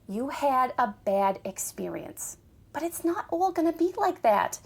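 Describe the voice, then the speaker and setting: A woman as an excitable, high-voiced pushy mother. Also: a little slow, vocal fry, breathy edge, heavily acted. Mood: nagging, encouraging. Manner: nagging, insistent, dramatic. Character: pushy, starstruck, doting older mother. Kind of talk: audio drama acting.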